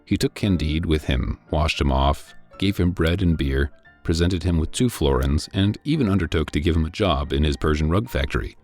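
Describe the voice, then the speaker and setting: A man reading a classic novel aloud in scripted narration, with a measured, dry delivery. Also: rumbling low, lively intonation, a little mellow, soft background music. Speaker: audiobook reader.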